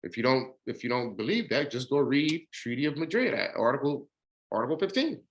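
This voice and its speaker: A man's voice: expressive, a little fast, muffled recording. A man reading a court judgement aloud, stumbling over words and breaking off to explain it in his own words. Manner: rises in pitch and speeds up when explaining.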